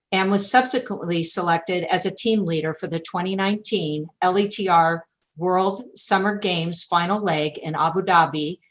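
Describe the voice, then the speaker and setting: A woman presenting at an online awards ceremony, reading a formal scripted speech in a measured way over a video call. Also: fairly low pitch. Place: video call from an indoor room. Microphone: video call microphone.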